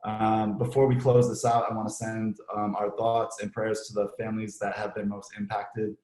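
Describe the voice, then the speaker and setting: A man hosting an organization's virtual ceremony, giving closing thanks in an unscripted, relaxed way with many ums and restarts, his voice slightly hoarse. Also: on the low side, normal speed. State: slightly hoarse, clearing throat. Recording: echoey room.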